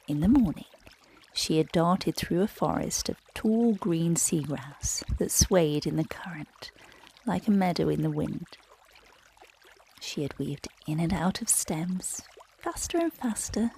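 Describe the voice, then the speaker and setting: A woman guiding children through a relaxation and bedtime story in a gentle, soothing, breathy voice that often drops close to a whisper. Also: on the low side, a little hiss or hum. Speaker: meditation guide and bedtime storyteller.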